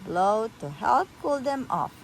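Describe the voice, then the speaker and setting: A woman reading a children's picture book aloud to a toddler in an animated, sing-song, encouraging way. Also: on the high side, slow, creaky, slight background noise, rough recording.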